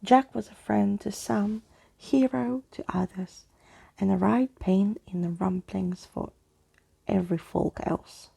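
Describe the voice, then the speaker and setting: A woman playing a grandiose, courtly old male skeleton who tells tall tales in a florid, breathy storybook manner. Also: medium pitch, steady tone, warm tone, exaggerated voice. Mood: boastful, whimsical. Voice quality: breathy, husky. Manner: theatrical, florid, slow.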